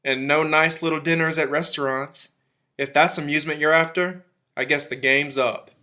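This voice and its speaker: A man's voice: fairly high pitch, steady tone, moderate pace, sharp tone. An actor playing an embittered, zealous militiaman who survived an alien invasion, in a radio drama, speaking in emphatic, folksy, clipped phrases. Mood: scornful.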